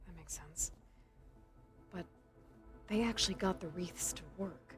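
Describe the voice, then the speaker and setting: A woman's voice: lowish voice, measured pace, close to an everyday voice. A woman voicing a determined young hunter. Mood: curious. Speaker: protagonist of a video game. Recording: game audio with faint music.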